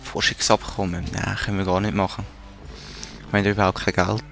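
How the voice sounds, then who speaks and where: A man's voice: deep voice, flat delivery, a little fast, gravelly, fairly bright tone, soft background music. A man with a Swiss German accent giving casual commentary while playing a strategy game, muttering to himself and talking to the units on screen. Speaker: let's play gamer.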